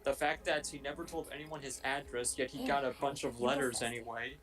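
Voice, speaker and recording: A man's voice: medium pitch, steady tone, fairly quick, fairly bright tone, soft background music. A man chatting casually and jokingly as he co-hosts a film commentary.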